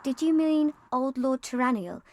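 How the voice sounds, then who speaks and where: A woman's voice: high-pitched, animated, normal speed, darkish tone, dry recording. An actress playing a young orphan girl in a video game, earnest, plaintive and stubbornly moralizing. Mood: earnest, curious.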